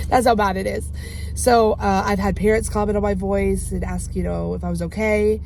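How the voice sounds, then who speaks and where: A woman who teaches, chatting casually to her camera with a hoarse, strained voice, rambling with many ums and restarts. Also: medium pitch, fairly even intonation, moderate pace, a little mellow, a little hiss or hum. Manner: rambling, self-interrupting, frequent ums. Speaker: teacher and vlogger. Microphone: handheld camera.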